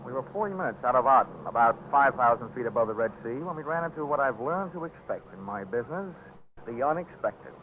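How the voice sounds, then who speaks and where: A man's voice: medium pitch, expressive, fast, slight background noise, lightly acted. A man playing a wisecracking hard-boiled investigator who narrates his case drily in a 1940s radio drama. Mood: brisk narrating. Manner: dry, wry wisecracks. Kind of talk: 1940s radio drama acting, first-person noir narration. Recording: phone-like 1940s radio recording with music.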